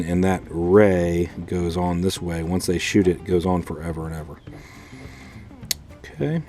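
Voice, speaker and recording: A man's voice: on the low side, darkish tone. An American man teaching an informal math lesson as a schoolteacher, repeating key points and asking checking questions. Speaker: fourth grade math teacher.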